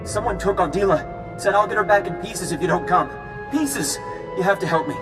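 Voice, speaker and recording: Scared everyman for a space game: pleading, quick high voice over a hologram link, music behind. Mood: panicked. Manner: anxious, pleading. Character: frightened, pleading ordinary man seeking help. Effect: hologram call. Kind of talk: video game cutscene acting.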